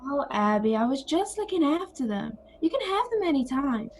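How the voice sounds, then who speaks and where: An actress playing a gentle, conciliatory grown-up sister with a nostalgic streak in an audio play. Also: female voice, sits high, very animated, normal speed, a little hiss or hum.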